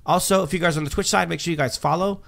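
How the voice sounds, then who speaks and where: A man's voice: a little high, steady tone, racing, vocal fry, fairly bright tone. Chatty man rambling through patch notes. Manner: chatty, rambling, many um fillers and restarts. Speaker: livestream host. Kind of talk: casual livestream chat, reading patch notes.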